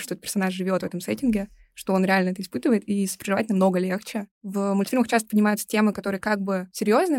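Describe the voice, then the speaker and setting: Very fast-talking young woman. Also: medium pitch, a little mellow, no echo. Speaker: podcast co-host. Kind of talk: casual discussion.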